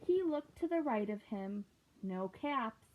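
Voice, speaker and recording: A woman reading a picture book aloud to children, gently asking them questions along the way. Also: a little high, lively intonation, unhurried, a little hiss or hum. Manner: gentle, asks listeners questions. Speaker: read-aloud storyteller. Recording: tinny lo-fi recording.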